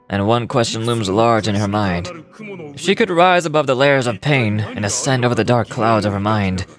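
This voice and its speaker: A male actor plays a grave, suffering man delivering a dramatic game monologue. His voice is low and his phrasing is deliberate and poetic, with music playing behind him. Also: natural voice.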